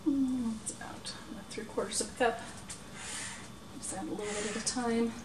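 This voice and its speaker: Middle-aged woman, home cooking demonstration, unhurried practical talk, echoey kitchen, distant microphone. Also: a little high, steady tone. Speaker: home cooking show host. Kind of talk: cooking demonstration, explaining steps. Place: small home kitchen, indoors. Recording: roomy, distant mic, noisy kitchen clatter. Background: clattering dishes, utensils and clicks.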